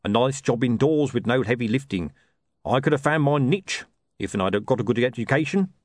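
A man's voice: medium pitch, brisk, dry recording, natural voice. A man voicing a gloomy, down-on-his-luck sausage hawker in an audiobook, with colloquial, lamenting salesman's patter. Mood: despondent. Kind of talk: audiobook character voice.

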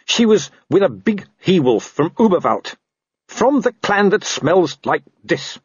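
Audiobook: a man voicing a terrified wolf, whining, husky, dull dry sound. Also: high-pitched, sing-song, unhurried, dry recording, pitched above the performer's own voice, heavily acted. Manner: whining, fearful. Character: frightened male wolf, cowering.

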